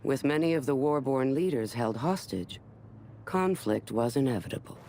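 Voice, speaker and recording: An actor playing a solemn warlord who narrates a war saga in a game cutscene, with measured, portentous phrasing. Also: male voice, mid-range voice, expressive, vocal fry, darkish tone, slight background noise. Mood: solemn, foreboding. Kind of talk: scripted dramatic game narration.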